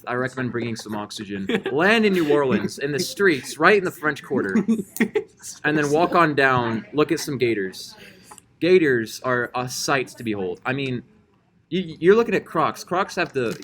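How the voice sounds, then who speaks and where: Animated, mock-grandiose man hosting a podcast. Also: medium pitch. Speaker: podcast host. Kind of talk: mock-serious comedic podcast banter.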